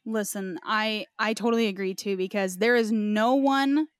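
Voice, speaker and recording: A woman's voice: mid-range voice, fairly even intonation, normal speed, smooth, no room sound, studio quality. A woman hosting a podcast and interviewing in a casual, chatty style. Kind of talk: casual chat.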